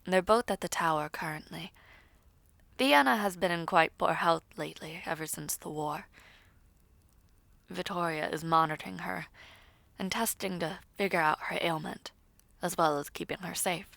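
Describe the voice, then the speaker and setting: A woman playing a composed, reassuring noblewoman in a fantasy audio roleplay, speaking in a measured, formal, deliberate way. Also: fairly low pitch, vocal fry, a bit bright, no room sound. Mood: grave.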